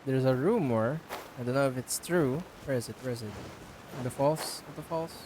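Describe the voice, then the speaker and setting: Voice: mid-range voice, normal speed, background noise. A game streamer chatting casually during a live stream, with playful, rambling asides. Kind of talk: casual live stream chat over gameplay.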